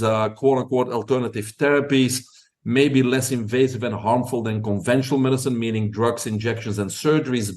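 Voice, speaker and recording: A man's voice: medium pitch, darkish tone. A middle-aged man from Belgium with a Belgian accent, a podcast guest telling his story in long, confident answers.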